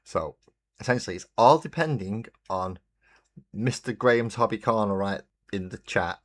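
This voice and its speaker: A man hosting a relaxed hobby livestream, chatting in a rambling way and greeting viewers while he paints. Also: on the low side, moderate pace. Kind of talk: casual chat while painting. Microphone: desk mic on a webcam stream.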